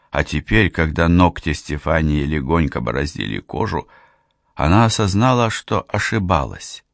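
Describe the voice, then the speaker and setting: A man narrating an audiobook in a measured storytelling manner, reading translator's notes aloud. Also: sits low, gravelly, dry recording, studio quality. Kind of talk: scripted narration.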